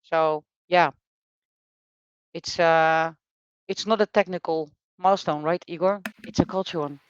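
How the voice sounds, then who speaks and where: Smooth-voiced podcast co-host, dull dry sound. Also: mid-range voice, fairly even intonation, no room sound. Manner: halting, with fillers and repeated words. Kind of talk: casual conversation.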